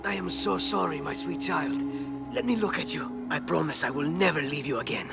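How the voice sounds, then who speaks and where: A man's voice: fairly high pitch, normal speed, creaky. An actor playing a weary, wary rebel father with a tender heart in a video game cutscene. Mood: tender, relieved. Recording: loud music under the voice.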